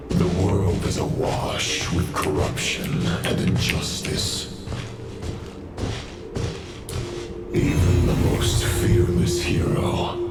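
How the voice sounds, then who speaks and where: A man voicing a grim, fatalistic warrior in a video game, speaking in a solemn, declamatory manner. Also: sits low. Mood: grave, accusing. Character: grim, fatalistic male warrior brooding on justice and fate. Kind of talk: dramatic video game voice acting. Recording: reverberant voice under loud music.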